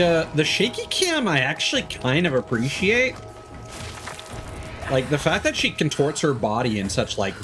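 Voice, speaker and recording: A man doing casual, sweary gameplay commentary as a streamer, with theatrical delivery. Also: medium pitch. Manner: animated, sweary, theatrical outbursts. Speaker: game streamer. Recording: game music behind voice.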